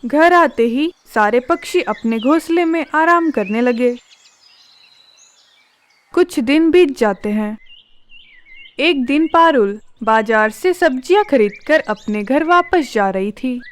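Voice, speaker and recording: A woman narrating a children's cartoon story in a calm, even manner. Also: sits high, slow pace.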